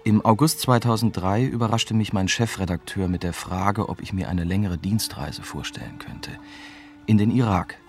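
An actor playing a young, wry German reporter, narrating a radio drama in a dry, matter-of-fact way. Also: male voice, on the low side, moderate pace, soft background music, close to an everyday voice. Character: young, wry male German newspaper reporter recounting his story. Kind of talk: scripted first-person radio drama narration.

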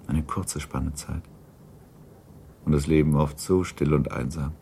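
Very deep-voiced male literary narrator. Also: steady tone, a little slow, creaky, warm tone, slight background noise. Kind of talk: literary scripted narration for radio drama.

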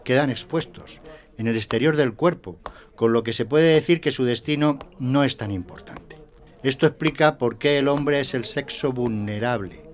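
A man's voice: medium pitch, close to an everyday voice. An actor playing a pompous, easily flustered male schoolteacher who lectures emphatically in an audio drama.

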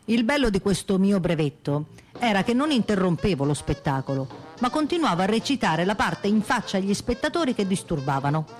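A woman reading scripted radio theatre narration with theatrical, measured phrasing. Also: medium pitch, sing-song, darkish tone. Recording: music under the voice. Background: music.

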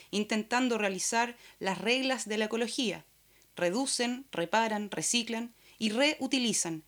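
A woman with a Chilean accent, co-hosting a radio show with casual talk and scripted announcements. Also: a little high.

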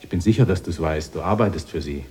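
An actor playing an anxious, dutiful husband in a radio drama, speaking earnestly and with urgency. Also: male voice, low-pitched, fast, darkish tone, close to an everyday voice. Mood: suspicious, urgent. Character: anxious, dutiful husband pleading for his wife.

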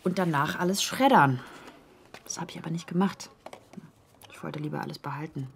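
A determined young woman reporter, brisk and matter-of-fact. She speaks in a radio drama scene with background noise. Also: deep voice, gravelly. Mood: secretive, determined. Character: resourceful, determined young woman journalist in 1960s Cairo. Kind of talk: German radio drama acting.

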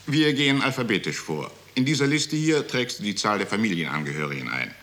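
An actor playing a cold, mocking bureaucrat in a 1960s German radio drama, giving condescending, matter-of-fact instructions. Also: male voice, lowish voice, very animated, a little fast, fairly bright tone. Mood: matter-of-fact, impatient. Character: cold, mocking male bureaucrat of a deportation office. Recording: 1960s radio studio recording.

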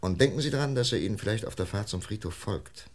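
An actor playing a seasoned, dry-humoured police inspector in a German radio crime drama, firm and in command with pensive, clipped speech. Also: male voice, fairly low pitch, animated, quick pace. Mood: calm, courteous. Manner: clipped questions, pensive hums, authoritative.

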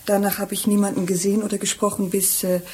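A woman's voice: medium pitch, level tone, quick pace, warm tone, slightly rough, lightly acted. An actress playing a subdued, respectable married woman in a German radio crime drama, careful and restrained. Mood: guarded.

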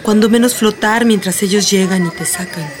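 A woman's voice: mid-range voice, moderate pace, a crowd in the background, natural voice. A woman with a Mexican accent acting in a radio play as a streetwise, protective schoolteacher, plain-spoken and matter-of-fact. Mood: reassuring, practical. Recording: music bed behind the voice.

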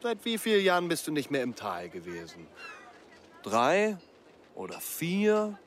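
A talkative, disapproving village man in a radio drama. His voice sits fairly high and swings animatedly as he probes and insists. There is clear outdoor noise around him. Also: huge pitch swings, unhurried. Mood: chatty, familiar. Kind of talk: German radio drama acting.

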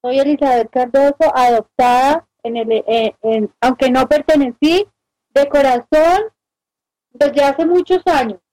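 High-voiced hesitant woman. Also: a little slow, warm tone, low-quality recording. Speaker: guest. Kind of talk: casual radio conversation.

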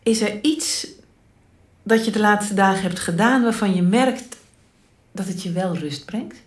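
An actress playing an overbearing psychotherapist who keeps asking probing, leading questions in a radio play. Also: female voice, mid-range voice, a little slow, roomy sound, lightly acted. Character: prying, overbearing female psychotherapist. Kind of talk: Dutch radio drama.

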